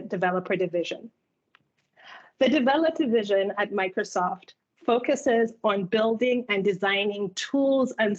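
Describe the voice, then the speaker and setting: A woman in her thirties from Uganda, giving a clear, organized keynote talk at a virtual hiring event. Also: medium pitch. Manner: clear, organized presenter, speaking in lists. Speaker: keynote speaker and product manager. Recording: muffled video call audio.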